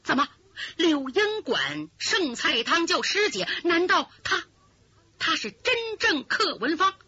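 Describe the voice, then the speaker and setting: A woman plays a young maidservant in a spoken storytelling piece, crying out urgently. The voice is very high and bright, swinging widely. The recording sounds dull and lo-fi. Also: very animated, slow pace, no room sound, heavily acted. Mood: astonished. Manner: breathless, urgent cries. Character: frantic young maidservant, quick and alarmed.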